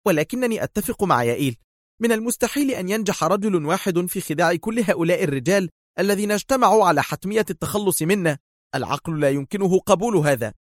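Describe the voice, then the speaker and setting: A man's voice: high-pitched, animated, fast talker, dry recording, clean studio sound, pitched above the performer's own voice. A man voicing an anxious, agitated woman in a dramatised story, tense and fretful. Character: anxious, agitated woman fearing for her life.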